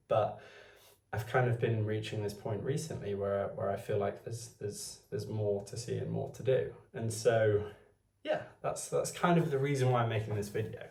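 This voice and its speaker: Low gravelly man musing aloud. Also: darkish tone, echoey room, far from the mic. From Wales. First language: Welsh. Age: 20s. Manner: meandering, thinking aloud. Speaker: vlogger. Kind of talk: unscripted musing to camera. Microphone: phone.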